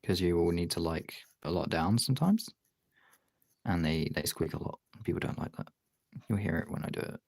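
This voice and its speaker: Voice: low-pitched, animated, normal speed, gravelly, darkish tone. A streamer chatting casually while demonstrating drawing, talking in an informal, sweary way. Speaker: art streamer.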